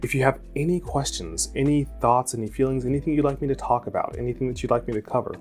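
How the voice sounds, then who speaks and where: A man's voice: medium pitch, fast, darkish tone, faint music behind. A man, an author hosting his own channel, talking earnestly and reflectively in a solo vlog. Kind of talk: solo vlog monologue to camera.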